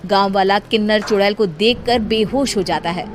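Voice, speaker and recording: A woman's voice: medium pitch, normal speed, a little hiss or hum. A woman reading scripted story narration as the narrator.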